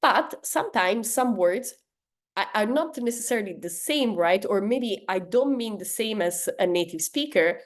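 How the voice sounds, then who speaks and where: A woman's voice: mid-range voice, expressive, moderate pace. Italian-accented woman teaching sales. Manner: conversational, lots of "right?" and "uh" fillers, acts out sample dialogues. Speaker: sales trainer. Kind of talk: business training lesson.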